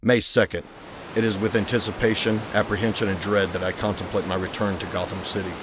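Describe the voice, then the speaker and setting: A man voicing a brooding, haunted young gentleman heir in a motion comic, formal, solemn and deliberate. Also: fairly low pitch, flat delivery, noisy background, lightly acted. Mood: reflective. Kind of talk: dramatic motion-comic acting and diary narration.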